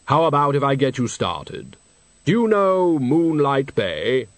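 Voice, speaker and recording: A man voicing Death as a grave, patient male figure in a storybook reading, speaking slowly and deliberately. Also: medium pitch, big pitch swings, no echo. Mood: measured. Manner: slow, deliberate and measured.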